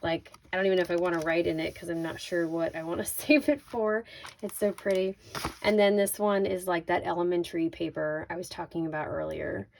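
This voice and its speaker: A woman with a slightly raspy voice hosting a casual craft unboxing, chatting informally and thinking aloud as she shows each item. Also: fairly low pitch, fairly even intonation, a little fast, darkish tone. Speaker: craft video host. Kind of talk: casual unboxing product walkthrough. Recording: noisy, with crinkling handling sounds.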